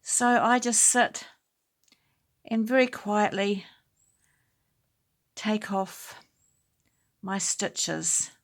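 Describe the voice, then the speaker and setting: A woman with a slightly croaky voice hosting a casual knitting tutorial at home, explaining each step in a chatty, unhurried way. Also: mid-range voice. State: slightly croaky voice from talking a lot. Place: indoors at home.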